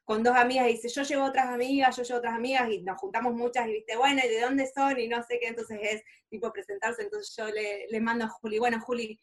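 A woman's voice: on the high side, quick pace, hardly any pauses. A woman with an Argentine accent from Rosario, telling her own story casually as an interview guest in a chatty, informal way. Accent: Rosario Argentine Spanish.

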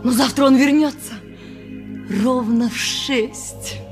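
An actress playing a devoted, naive maidservant in love with a sailor, speaking in a dreamy, sentimental radio play manner. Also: female voice, high voice, fairly even intonation, slow, soft background music. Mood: wistful, tender. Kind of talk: Soviet radio play acting.

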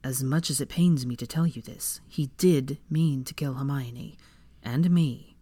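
Audiobook role: stern, composed young husband, low-pitched and deliberate voiced by a female reader. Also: fairly even intonation, a little mellow, no room sound. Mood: gentle regret. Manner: measured, firm. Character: stern, calm, protective young husband and father.

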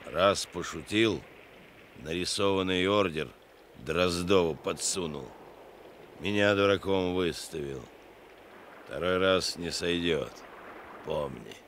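Hardened killer, radio drama, sneering menace, slow delivery, faint background noise. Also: male voice, low voice, fairly bright tone, full character voice. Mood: menacing. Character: menacing hardened criminal gang killer, bitter and threatening.

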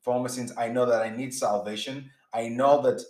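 A man's voice: mid-range voice, steady tone, normal speed, darkish tone, reverberant. A man giving a sermon-style teaching in an earnest way, often repeating words and restarting as he builds his points. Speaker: preacher.

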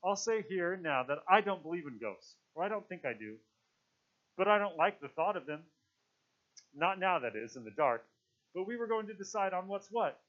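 A man voicing an earnest boy leader in a storybook reading, halting and self-questioning but insistent about rules. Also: high-pitched, big pitch swings, highs cut off, voice pushed up. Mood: flustered, weary. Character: earnest, wavering boy leader trying to keep order.

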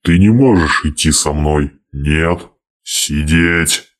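A man voicing a tall wizard who is sardonic but soft-hearted, speaking low, dry and stern. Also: slow pace.

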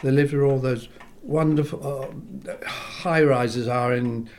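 An older man born in England tells long, rambling stories in an interview. He is animated and acts out the voices of the people he quotes. His voice sits in a middle pitch. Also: slow, a little hiss or hum. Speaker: composer, interview guest. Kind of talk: reminiscing interview.

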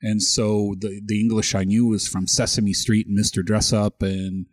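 A man's voice: lowish voice, level tone, normal speed, breathy edge, no room sound. A middle-aged Canadian man whose first language was French, co-hosting a casual podcast chat and often repeating words as he starts a sentence. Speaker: podcast co-host.

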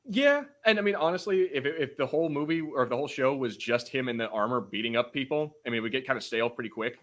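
A man with a mid-pitched voice chats as a podcast co-host. He is informal and a bit halting, loose with swearing, and sounds like he is talking off the cuff. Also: lively intonation, a bit bright. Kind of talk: casual chat, reads out viewer comments.

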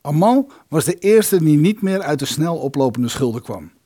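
Casting: a man as a wry young musician telling his story, lightish register with a raspy edge, very expressive. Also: fairly high pitch, moderate pace. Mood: wry, reminiscing. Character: young musician recalling his shared lodging days. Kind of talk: Dutch audio drama narration.

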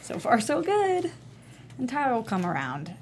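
An actress playing a warm, frank young woman in a naturalistic comedy table read. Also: on the high side, wildly swooping pitch, moderate pace. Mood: reassuring. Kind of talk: naturalistic comedy script reading. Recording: faint background noise.

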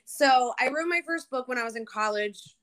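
A woman's voice: sits high, normal speed, fairly bright tone. A woman in her early thirties from New Jersey, chatting casually and ramblingly as a podcast guest. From New Jersey, United States. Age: early 30s. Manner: rambling, informal, frequent "like". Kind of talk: casual interview chat.

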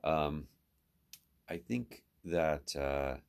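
A man's voice: rumbling low, animated, extremely slow, gravelly, a little mellow, clean tone. A man hosting a podcast as an instructor, asking questions conversationally and often restarting as he thinks aloud. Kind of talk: conversational interview.

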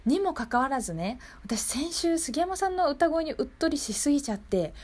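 A woman's voice: high-pitched, very animated, moderate pace, a little mellow. A woman hosting a local radio show, speaking politely and conversationally as she addresses her listeners. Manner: polite, conversational, often seeking agreement from listeners. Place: radio studio.

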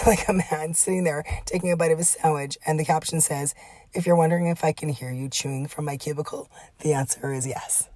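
A middle-aged woman with a breathy, raspy voice, chatting through a solo podcast with lots of fillers and laughs. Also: sits low, a little mellow, no echo. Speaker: podcast host. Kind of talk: casual solo podcast monologue.

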